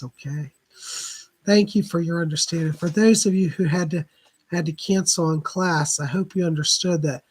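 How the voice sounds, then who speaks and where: A man hosting a live psychic reading stream, hoarse from laryngitis, chatting casually and clearing his throat often. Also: a little high. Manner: chatty, greets viewers by name, keeps clearing his throat.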